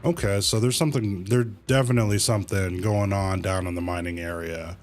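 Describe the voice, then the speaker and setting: A man with a fairly low voice talks through a game on a livestream. He is laid-back and colloquial, switching between reading dialogue aloud and muttering his own commentary. Music plays softly behind him. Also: steady tone, a little fast. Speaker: game streamer.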